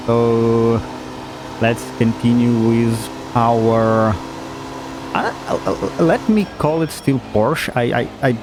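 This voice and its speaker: A man commenting casually on a racing game at an unhurried pace, his voice sitting in a middle register. He hesitates often, restarts his sentences and chuckles now and then. Game music plays clearly behind him. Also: mid-range voice. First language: not German. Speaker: gaming YouTuber. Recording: game music playing underneath.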